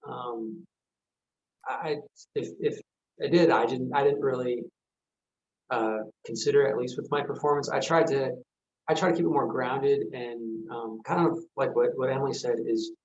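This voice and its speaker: A man speaking as an actor guest on a video call, answering casually in a hesitant, halting manner. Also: medium pitch, fairly even intonation, darkish tone. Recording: slightly dull video-call audio.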